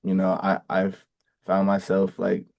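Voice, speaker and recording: A young man from the Bay Area talks through his plays in a casual, halting way, often circling back with ums and restarts. His voice sits very low and stays nearly flat in pitch. It has a gravelly, mellow, dark tone. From Bay Area, California. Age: young adult. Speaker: college football player. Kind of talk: casual interview answers.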